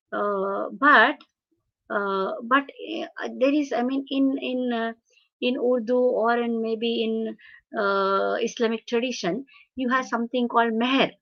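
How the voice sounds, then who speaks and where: A woman from India with an Indian English accent and Marathi as her first language, lecturing on translation. She talks haltingly, with many fillers and restarts. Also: on the high side, fairly even intonation, highs cut off. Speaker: webinar speaker on translation. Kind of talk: lecture with audience questions. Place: video call webinar.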